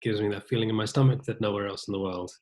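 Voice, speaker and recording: Thoughtful low-voiced British-sounding man. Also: rapid-fire, darkish tone. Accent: British English. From New Zealand. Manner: thoughtful, many restarts and fillers. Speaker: violinist guest. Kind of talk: casual interview conversation.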